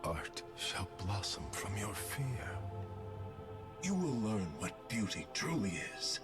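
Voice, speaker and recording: A man voicing a grandiose, theatrical serial killer who speaks slowly and poetically in a deep, whispery voice, as video game voice lines. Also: big pitch swings. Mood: menacing, grandiose. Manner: slow, poetic, dramatic delivery. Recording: music playing behind the voice.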